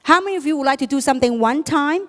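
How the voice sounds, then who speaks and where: A woman's voice: high voice, lively intonation, quick pace, a bit bright. A woman whose first language is Chinese gives an emphatic motivational seminar talk, throwing rhetorical questions at a live audience in a large hall. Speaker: speaker at a business seminar. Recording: long reverb of a large hall.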